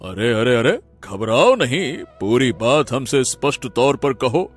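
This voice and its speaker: A man's voice: mid-range voice, lively intonation, unhurried, faint music behind. A man voicing a kindly but commanding king in a cartoon story, with a stately, authoritative manner. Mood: reassuring. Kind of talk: Hindi cartoon story voice acting.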